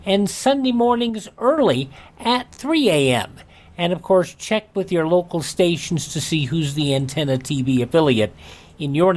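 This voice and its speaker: A man's voice: high-pitched, darkish tone. An American man presenting a radio show in a folksy manner, with plugs and sign-off.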